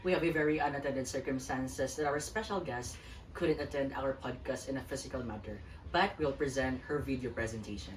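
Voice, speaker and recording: Female Filipino co-host, low register, scripted delivery, distant recording with faint noise. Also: a little fast. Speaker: student podcast co-host. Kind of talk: scripted podcast hosting. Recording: far from mic, faint noise.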